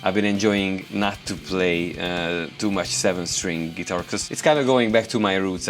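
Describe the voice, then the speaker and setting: A Hungarian man with a Hungarian accent, a guitarist hosting a casual question-and-answer video, relaxed and rambling with many fillers. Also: sits low. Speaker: guitarist and YouTube host. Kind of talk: casual Q&A to camera.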